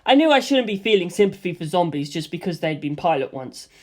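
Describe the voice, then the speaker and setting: A man reading a first-person action story aloud in a lively way, voicing gunfire sound effects himself. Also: sits high, brisk. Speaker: audiobook reader.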